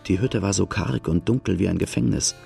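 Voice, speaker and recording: Radio drama: outlaw recalling boyhood, very gravelly, wry, reflective narration over faint music. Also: male voice, low voice, brisk, dark tone. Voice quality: very creaky, gravelly.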